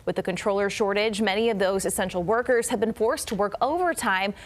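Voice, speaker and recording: A woman's voice: mid-range voice, brisk. An American woman presents as a TV news anchor. Her delivery is conversational, with a mid-range voice, in the style of a broadcast interview.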